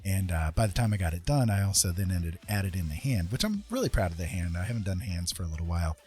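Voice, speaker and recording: A man's voice: low-pitched, sing-song, fast talker, mellow. A man chatting casually and hesitantly as he livestreams his drawing, rambling and thinking aloud. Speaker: livestream host and artist.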